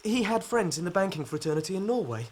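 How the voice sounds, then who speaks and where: An actor playing a distraught young man under suspicion in a radio drama, halting, anxious and pleading. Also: high-pitched, very animated. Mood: earnest.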